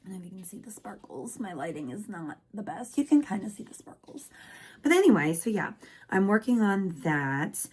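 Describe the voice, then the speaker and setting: A woman's voice: medium pitch, very animated, normal speed, slightly rough. A woman chatting casually in a home craft vlog, talking while she crochets, her voice worn from losing it. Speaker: crochet maker and small business vlogger. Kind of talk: casual rambling vlog chat.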